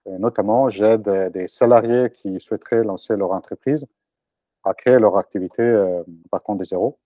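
A man's voice: lowish voice, normal speed, dry recording. Italian man in his forties, entrepreneur guest; dry, slightly dull recording. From Italy. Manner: hesitant, frequent fillers and restarts. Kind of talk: casual interview answers.

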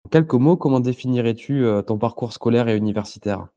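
Male interviewer with an average-pitched voice; slightly dull, lo-fi recording. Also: quick pace, warm tone. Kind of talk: reading scripted interview questions.

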